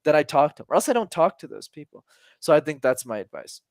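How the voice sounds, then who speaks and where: A man in his twenties, a communication coach talking as a podcast guest, energetic and emphatic, casual with some swearing. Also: on the high side, fast, no echo, clean studio sound. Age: 20s.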